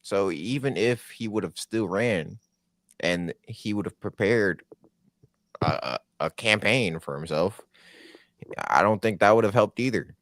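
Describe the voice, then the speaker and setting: A man's voice: on the low side, measured pace, a bit bright, no room sound. A man co-hosting a podcast, talking bluntly with lots of slang in casual chat. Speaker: podcast co-host.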